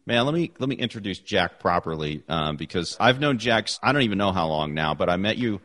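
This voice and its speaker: Middle-aged male host, very low voice, warm chatty praise, repeats himself. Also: expressive, fairly quick. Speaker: podcast host. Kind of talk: casual interview chat.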